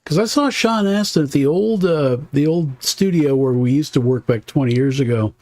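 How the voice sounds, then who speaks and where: A man in a casual chat who mostly chimes in with short, easygoing remarks. His voice is mellow and dark in tone, recorded dry and close. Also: on the high side, normal speed, dry recording. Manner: brief, easygoing remarks and interjections.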